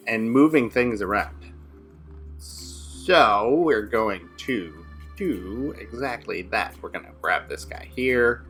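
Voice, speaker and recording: Bright-voiced man narrating his build. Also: mid-range voice. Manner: rapid thinking aloud while building. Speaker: co-host playing a modded game. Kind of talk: casual gameplay commentary.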